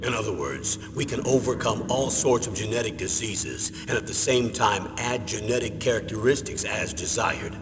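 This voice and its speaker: A man's voice: on the low side, animated, close to an everyday voice. Grave military commander in echoing briefing, acted by a man. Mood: solemn explaining. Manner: measured, serious, expository. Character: grave, authoritative older male military commander briefing an agent. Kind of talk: dramatic video game mission briefing. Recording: long reverb, clear background noise.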